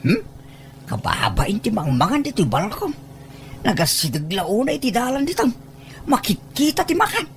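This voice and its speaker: Woman acting a cautious, high-voiced reproving relative. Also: sing-song, moderate pace, slight background noise. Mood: reluctant, embarrassed.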